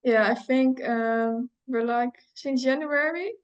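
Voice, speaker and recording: A woman's voice: on the high side, takes it slowly. A young woman from the Netherlands with a Dutch accent, chatting casually as a video host. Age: young adult. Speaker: YouTube host and trader.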